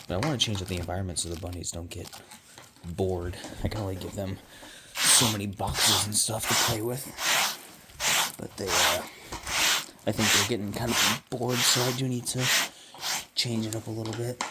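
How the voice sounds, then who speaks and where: A man chatting casually while cleaning his living room, meandering and offhand, with quiet mumbled asides, into a handheld phone. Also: on the low side, fairly even intonation, normal speed, long pauses. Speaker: vlogger and pet owner. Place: home living room, indoors. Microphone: handheld phone camera. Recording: loud background noise.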